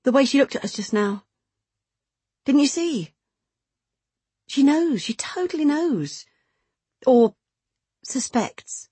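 A woman's voice: sits high, lively intonation, takes it slowly, long pauses, mellow, no room sound, pitched above the performer's own voice. A woman voicing a flustered, guilty young woman in her twenties in an audiobook story, breathless and anxious. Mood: anxious, suspicious. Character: guilty, flustered 26-year-old woman having a secret affair.

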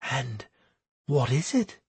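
A man voicing a bewildered, questioning former high priestess in an audiobook story. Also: sing-song, measured pace, darkish tone, slightly rough, dry recording, full character voice. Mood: hushed, nervous.

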